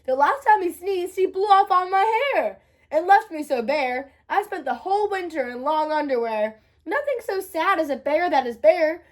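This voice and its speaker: A woman plays an aggrieved bear from a picture book. It protests plaintively in a high voice with lots of rise and fall. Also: lively intonation, moderate pace. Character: aggrieved, pleading storybook bear.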